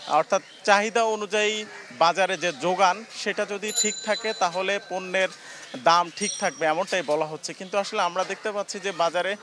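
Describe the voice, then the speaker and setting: Young man, field reporter, handheld mic outdoors, high-pitched, hesitant explaining, faint street noise. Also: a bit bright. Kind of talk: live news report. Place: outdoor city market. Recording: faint outdoor market ambience.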